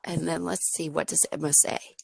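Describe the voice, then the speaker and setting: A woman tutoring a child in English online, slightly hoarse and losing her voice, modeling sentences slowly and clearly. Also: low-pitched, flat delivery, no room sound. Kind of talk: patient teaching over a video lesson.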